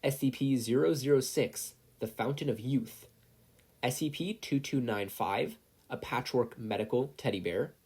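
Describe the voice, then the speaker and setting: A person narrating fan fiction like an audiobook, expressive, with casual asides. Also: medium pitch, normal speed, husky. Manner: animated, expressive storytelling. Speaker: podfic reader, narrator.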